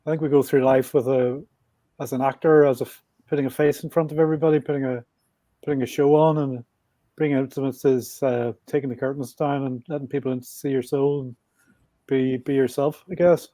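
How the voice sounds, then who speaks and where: A man in his sixties speaks with a Belfast accent. His voice is mellow and fairly flat, and he talks in a measured, reflective way, as if thinking aloud. The sound is close and dry. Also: medium pitch, no echo. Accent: Belfast Northern Irish. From Northern Ireland. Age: 60s. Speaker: podcast guest. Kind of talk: casual interview talk.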